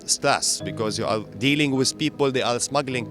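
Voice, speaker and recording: A man's voice: medium pitch, moderate pace, music in the background. A refugee man from Lebanon, explaining his situation earnestly. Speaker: refugee interviewee.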